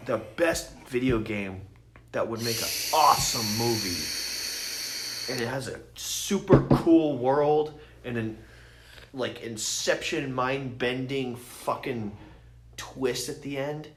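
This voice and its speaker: A man's voice: mid-range voice, slow pace, slight background noise, low-quality recording. A man co-hosting a podcast, talking casually in a blunt, opinionated, sweary way. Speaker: podcast co-host. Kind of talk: casual chat.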